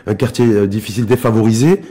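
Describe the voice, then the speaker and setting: Male host, mid-range mellow voice, very quick pace, probing interviewer who rephrases as he goes. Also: fairly low pitch.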